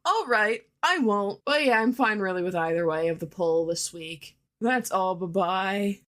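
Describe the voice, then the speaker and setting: Woman, medium pitch, casual monologue, listener voice message. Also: animated, unhurried, fairly bright tone.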